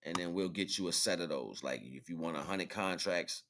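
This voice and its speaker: A man's voice: sits low, moderate pace, fairly bright tone, slight background noise. A man hosting a livestream in casual, slangy talk, often checking in with the listener using tag questions. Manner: informal slangy speech with frequent tag questions. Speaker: livestream host.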